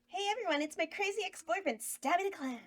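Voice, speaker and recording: Hellvira, a flirty campy horror hostess, gushes in a high, swooping voice full of playful innuendo, as a woman reads her lines at a table read. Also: lively intonation, fast talker, slight background noise.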